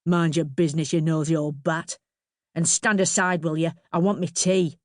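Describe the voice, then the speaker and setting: A woman's voice: low-pitched, steady tone, normal speed, no echo, studio quality, natural voice. A woman voicing a surly, brusque working-class father in an audiobook.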